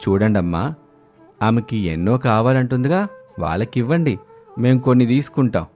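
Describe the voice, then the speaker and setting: A man's voice: mid-range voice, animated, normal speed, darkish tone, faint music behind, natural voice. A man voicing a kindly male shopkeeper in a story, practical and reassuring. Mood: matter-of-fact.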